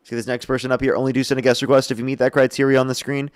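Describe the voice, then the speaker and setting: A young man hosting a live debate stream, briskly taking callers and repeating his stock lines to viewers. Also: mid-range voice, little pitch movement, smooth. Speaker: livestream debate host. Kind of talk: live-stream call-in chat.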